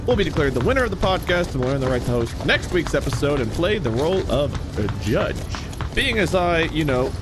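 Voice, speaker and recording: A man hosting a podcast in casual banter, with a bouncy sing-song cadence when he does the intro. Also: a little high. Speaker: podcast host.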